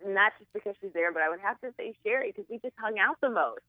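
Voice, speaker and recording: A woman guest actress chatting in a radio interview, bubbly and quick to laugh. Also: medium pitch, lively intonation, no echo. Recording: tinny phone line.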